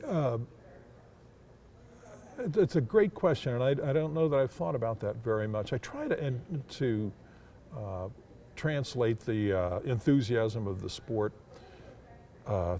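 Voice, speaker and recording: An older man, a public address announcer, reflecting in an interview in a deliberate, hesitant way with formal wording. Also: medium pitch, expressive, mellow.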